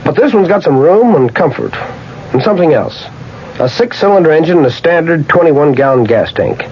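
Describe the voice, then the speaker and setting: An actor playing a plainspoken, proud car owner in a 1970s radio commercial, conversational and emphatic. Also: male voice, on the high side, animated, fairly quick, a little mellow, close to an everyday voice. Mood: skeptical, then satisfied. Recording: muffled old radio with faint hiss.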